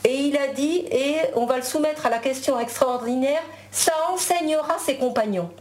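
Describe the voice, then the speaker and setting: A woman's voice: high-pitched, moderate pace, darkish tone. Hesitant woman, native Spanish speaker. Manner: hesitant, many fillers and restarts. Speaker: guest. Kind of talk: casual interview chat.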